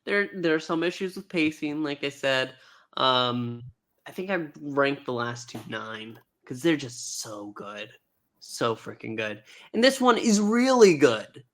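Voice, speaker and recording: A man's voice: mid-range voice, animated, a little slow, fairly bright tone. A man co-hosting a casual fan review, speaking in a playful, emphatic and informal way. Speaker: podcast co-host and reviewer.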